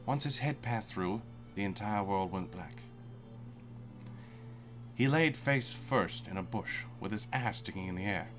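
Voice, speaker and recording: A man's voice: on the low side, a little slow, pauses often, fairly bright tone, soft background music. A man narrating a fan-fiction story aloud for laughs, often breaking into giggles while reading.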